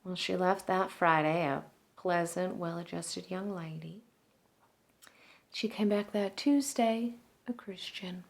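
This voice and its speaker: A woman performing a dramatic stage monologue as a warm-hearted, gossipy Southern salon owner with a folksy, confiding delivery. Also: lowish voice, slow pace, vocal fry. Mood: wry, hushed.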